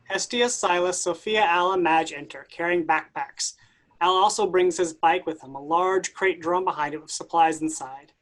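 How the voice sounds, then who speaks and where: A woman's voice: fairly low pitch, moderate pace, low-quality recording. A woman reading out stage directions as scripted narration for a play reading.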